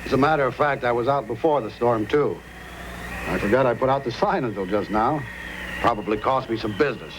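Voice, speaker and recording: A man's voice: mid-range voice, fairly quick, close to an everyday voice. A man playing a ruthless, bossy frontier storekeeper and gang boss in an old-time radio adventure. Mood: falsely casual. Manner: bossy, sly. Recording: very noisy, reverberant old radio recording.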